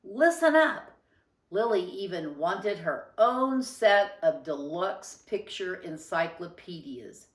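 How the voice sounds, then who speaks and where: Unhurried woman reading a storybook, roomy echo. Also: on the low side, recorded from a distance. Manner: deliberate, emphatic phrasing. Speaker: storybook reader. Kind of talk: expressive children's read-aloud narration. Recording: roomy, echoing sound.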